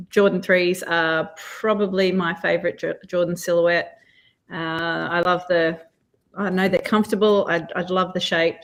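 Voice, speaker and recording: A woman chatting casually over a video call about her sneakers, hesitant with many pauses. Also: lowish voice. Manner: relaxed, halting, many ums. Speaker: sneaker battle contestant. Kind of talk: casual chat.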